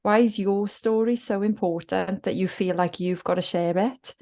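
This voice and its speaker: A woman's voice: mid-range voice, fairly even intonation, moderate pace, warm tone. A woman from South Wales with a Welsh accent, a guest talking reflectively in a podcast interview. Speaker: guest author.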